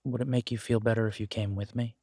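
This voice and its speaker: A man's voice: fairly low pitch, level tone, fast, darkish tone, no echo, studio quality. A man playing an easygoing, affectionate werewolf biker in an intimate audio drama, talking casually and hesitantly to a partner. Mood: sheepish, affectionate. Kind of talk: intimate roleplay audio drama, one-sided conversation.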